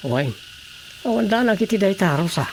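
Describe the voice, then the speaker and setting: A woman voicing a young man in a radio drama, speaking low and flat. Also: moderate pace, a little hiss or hum, close to an everyday voice. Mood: subdued.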